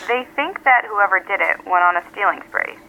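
A woman's voice: medium pitch, expressive, a little fast, vocal fry, bright, forceful tone. An actress playing a self-assured, blunt woman in an audio drama, heard through a telephone filter, dry and direct. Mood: matter-of-fact. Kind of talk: naturalistic audio drama acting. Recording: thin filtered telephone line.

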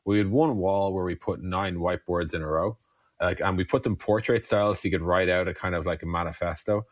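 A low-voiced Irish man talks quickly in a casual, conversational way. He tells founder stories with frequent digressions, leaning on "like" and "you know". The sound is dry and close, with little room. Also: steady tone, no echo. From Ireland. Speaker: startup co-founder being interviewed.

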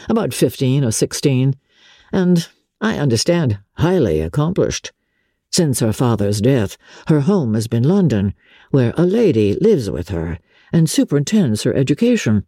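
A woman voicing an aggrieved young Regency gentleman in a dramatic audiobook reading, measured, confiding and mournful in manner. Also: rumbling low, very animated, slightly rough, no echo, studio quality, full character voice. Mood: regretful.

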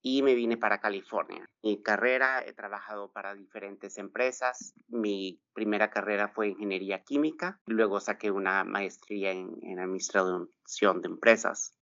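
A middle-aged man from El Salvador, raised in Texas, speaks in a low voice as a podcast guest on finances. He explains things steadily, pausing on "eh" as he thinks. The audio is dull and dry, with no room sound. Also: fairly even intonation, a bit bright, dry recording. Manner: measured, explanatory, with filler "eh". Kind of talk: podcast interview.

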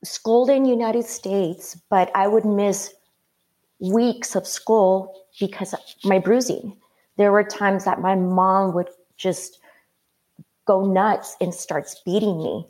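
A woman from Mexico telling her life story as an interview guest, earnest and halting, with many fillers. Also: medium pitch, fairly even intonation, a little mellow, rough recording.

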